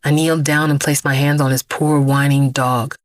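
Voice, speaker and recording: An actress playing an immortal vigilante woman, weary, haunted and defiant, telling her story in an intense, measured audio drama monologue with hushed drops. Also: sits low, level tone, no room sound, lightly acted. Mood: somber, resolute.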